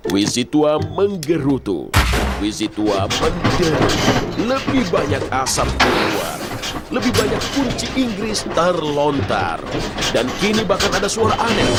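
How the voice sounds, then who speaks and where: A man narrating a children's cartoon as a dramatic storyteller. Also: fairly high pitch. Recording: music playing behind the voice. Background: loud music, vehicle sounds.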